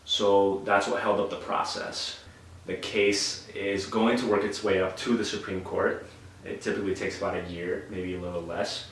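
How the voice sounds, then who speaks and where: A young man from Bolivia, speaking low and level in a steady, explanatory manner. His voice sits a little away from the mic and rings in a very echoey room. A faint hum of background noise runs under him. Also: distant mic. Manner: measured, explanatory, some restarts. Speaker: interviewee. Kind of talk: calm explanatory testimony.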